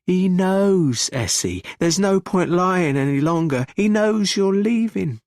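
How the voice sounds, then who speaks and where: A man acts out a desperate wife and mother in an audiobook. The voice is thrown very high, urgent and frightened, pleading in a dry recording. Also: expressive, slow, darkish tone, dry recording. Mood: anguished. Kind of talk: audiobook character dialogue.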